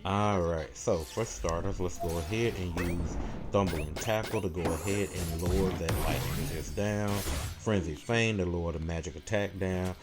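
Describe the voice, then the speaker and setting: Deep-voiced man giving gameplay commentary. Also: moderate pace. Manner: casual, step-by-step play-by-play. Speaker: gameplay guide creator and commentator. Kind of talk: live gameplay commentary, walkthrough. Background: game music behind the voice.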